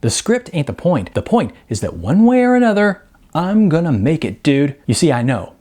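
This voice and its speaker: A man voicing a cocky, excitable young slacker in an audiobook, talking in brash, insistent dude slang. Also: on the high side, normal speed, voice pushed up. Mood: excited, cocksure. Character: excitable, cocky young male slacker dreamer, record store clerk.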